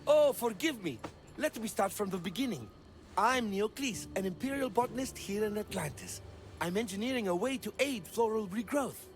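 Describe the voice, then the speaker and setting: An actor playing an eager, fussy botanist in a video game, wordy and pedantic, easily flustered. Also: male voice, sits high, expressive, normal speed, faint music behind. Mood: eager, apologetic. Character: eager, fussy male botanist of a mythical city, absorbed in theory. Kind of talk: video game cutscene acting.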